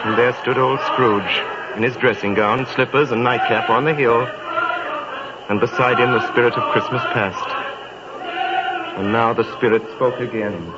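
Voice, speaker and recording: A man's voice: medium pitch, steady tone, moderate pace, very noisy. A man narrating a 1930s radio drama in a dramatic storytelling way, addressing the listener directly. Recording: dull old radio recording with music.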